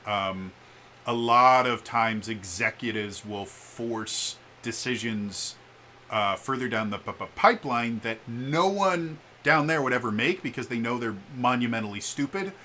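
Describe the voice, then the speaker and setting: A man vlogging in a casual, opinionated monologue, speaking haltingly and often stammering on the first sounds of words. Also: medium pitch, bright, forceful tone, a little hiss or hum.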